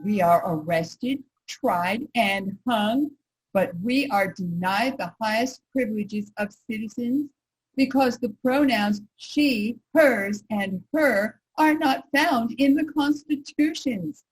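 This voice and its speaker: Woman playing earnest 1800s suffragist, really raspy voice, slow and emphatic, stage reading. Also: fairly high pitch, low-quality recording. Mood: firm, indignant. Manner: measured, emphatic. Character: earnest, resolute 19th-century women's suffrage leader. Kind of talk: reader's theater recitation.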